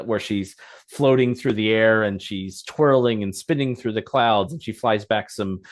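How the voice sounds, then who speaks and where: A man co-hosting a podcast, talking in a casual, rambling, conversational review style. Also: mid-range voice. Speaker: podcast co-host. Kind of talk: casual animation review chat.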